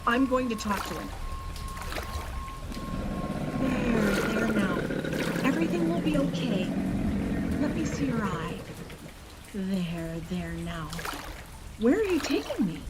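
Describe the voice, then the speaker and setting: A woman playing a calm, haunted mother in a radio play, soothing, earnest and cryptic, stubborn in what she believes. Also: fairly high pitch, measured pace, long pauses, heavy background noise. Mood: spooked, entranced.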